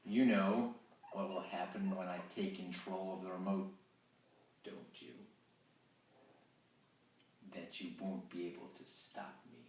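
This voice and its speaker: A commanding romance hero, read by a man, deep and unhurried with a teasing edge. The voice sits far from the mic in a very echoey room, with a faint hiss underneath. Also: level tone, darkish tone. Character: confident seductive adult man, a lover. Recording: roomy, distant, faint hiss.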